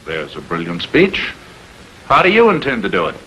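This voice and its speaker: An actor playing a sardonic, defensive cartoonist under suspicion in a 1940s television crime drama, speaking with a challenging edge. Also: male voice, low voice, huge pitch swings, normal speed, sharp tone, full character voice. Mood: sarcastic. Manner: sarcastic, challenging. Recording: noisy 1940s film soundtrack.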